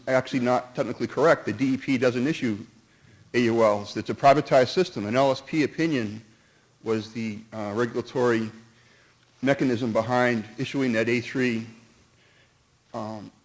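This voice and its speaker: A man's voice: mid-range voice, fairly even intonation, moderate pace, low-quality recording. A man speaking at a town meeting, earnest and cautious, who stumbles, restarts and jumps between points while giving his opinion. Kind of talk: public comment at a town meeting.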